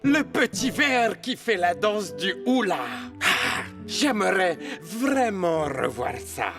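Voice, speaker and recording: A small, scheming cartoon cat, speaking high and slowly with theatrical flair. The delivery coaxes and swoops, over clear background music. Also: male voice, sing-song, heavily acted. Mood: eager, coaxing. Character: small cunning, theatrical male cat hero. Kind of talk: French-dubbed children's cartoon acting. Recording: music behind the voice.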